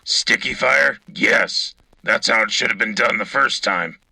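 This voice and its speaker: A man playing a gruff, sardonic soldier who co-hosts a wartime radio show in a blunt, husky voice. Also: on the low side, a little slow, bright, forceful tone, dry recording, full character voice, poor audio. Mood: wry. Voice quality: gravelly, husky. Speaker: radio co-host. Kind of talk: in-character military radio commentary.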